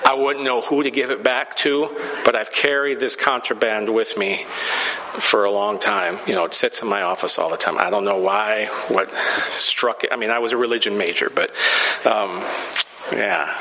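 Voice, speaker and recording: A man's voice: on the low side, unhurried, pushed and bright, slight background noise. A male pastor from the Pacific Northwest telling a story sermon, chatty and self-deprecating, laughing at his own stories. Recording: dull sound with long hall reverb.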